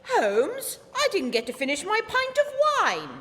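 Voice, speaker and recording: A woman acts a bemused Victorian gentleman sidekick in a comic radio play. The high voice swoops dramatically and takes its time, with reverb and crowd ambience around it. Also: wildly swooping pitch, measured pace, exaggerated voice. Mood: flustered. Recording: noisy hall reverb, crowd behind.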